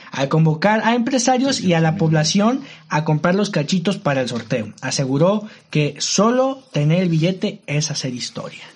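A young man with a Mexican accent talks in a casual, slangy way, with lots of fillers and indignant asides. His voice sits high for a man. He sounds like he is chatting with friends rather than presenting. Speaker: co-host. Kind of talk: casual chat.

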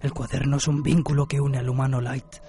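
Male death spirit, acted by a man, gravelly creaky voice, taunting, amused, theatrical, anime radio drama. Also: mid-range voice, flat delivery, fairly quick, warm tone, close to an everyday voice.

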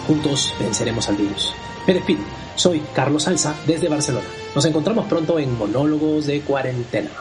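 A man who hosts the podcast, reading a calm, friendly scripted sign-off. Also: fairly high pitch, brisk, few pauses. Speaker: podcast host and director. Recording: loud background music.

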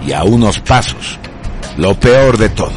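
Very deep male narrator over music. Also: animated, a little slow. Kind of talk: scripted dramatic narration.

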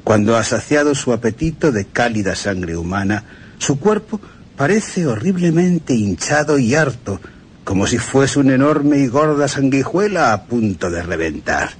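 A man's voice: mid-range voice, big pitch swings, normal speed, slight background noise, lightly acted. An actor playing a male vampire scholar, reciting grim descriptions formally and emphatically in a literary radio reading. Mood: grim, lurid.

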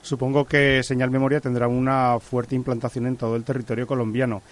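A man's voice: medium pitch, level tone, brisk. A man with an accent from Spain asking long, formal questions in a radio interview. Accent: Spanish, from Spain.

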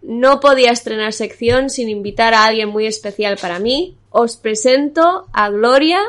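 A young woman hosting a casual podcast, chatty and quick with asides, often laughing. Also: a little high, a bit bright, slight background noise. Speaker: podcast host.

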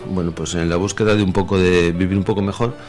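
A low-pitched man with a central Spanish accent talks as an interview guest. His manner is enthusiastic and conversational, with faint music underneath. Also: steady tone, quick pace, darkish tone. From Madrid, Spain. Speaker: guest, village mayor. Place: radio studio.

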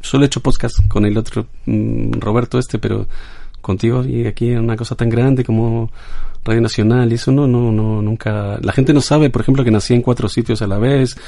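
Brief: dim, boastful crooner voiced by a man, husky, mellow, rambling chatter. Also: steady tone, a little fast. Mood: chatty. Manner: rambling, absurd, bright higher voice. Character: scatterbrained, boastful male bolero crooner, middle-aged. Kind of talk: comedy sketch.

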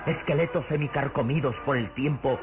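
An actor as a grave, suspenseful narrator of a vintage radio serial, florid and dramatic. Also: male voice, medium pitch, brisk, lightly acted. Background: dramatic music.

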